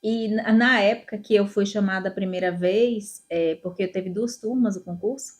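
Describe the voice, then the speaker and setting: A woman's voice: medium pitch, fairly even intonation, normal speed. Chatty woman. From Salvador, Bahia, Brazil. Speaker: police chief, guest. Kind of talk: interview answers, casual storytelling.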